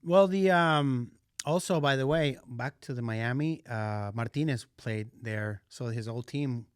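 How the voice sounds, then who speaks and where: A man co-hosting a soccer podcast in casual chat, excitable and repetitive, dropping Spanish words into his English. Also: medium pitch, a little slow, clean tone, no room sound, clean studio sound. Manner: excitable, repeats himself, mixes Spanish into English. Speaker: podcast co-host.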